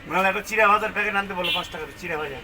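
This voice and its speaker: A man talks very quickly and briskly, handing out small errands. His voice is high for a man. Heavy road noise runs under everything he says. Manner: brisk, gives errands and instructions. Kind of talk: casual chat. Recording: loud outdoor noise with traffic.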